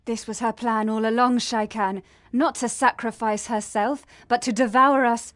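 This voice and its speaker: An actress playing a proud, defiant demoness with a haughty, dramatic delivery in a fantasy game cutscene. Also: female voice, a little high, steady tone, moderate pace, fairly bright tone, no echo, faint music behind, lightly acted. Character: proud, defiant female demon devoted to her love.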